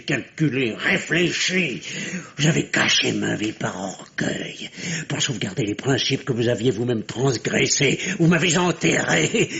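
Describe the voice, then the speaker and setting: An embittered grown son in a vintage French radio play, reproaching his mother. His voice is fairly high and swings widely, with some creak at the edges. The delivery is declamatory and full of anguish. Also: a bit bright, lo-fi sound. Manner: theatrical, declamatory. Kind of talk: old French radio drama acting.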